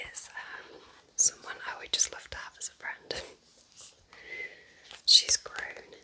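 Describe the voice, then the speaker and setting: An ASMR creator whispering book recommendations in a breathy, unvoiced voice, with a gentle, informal, chatty manner. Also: poor audio.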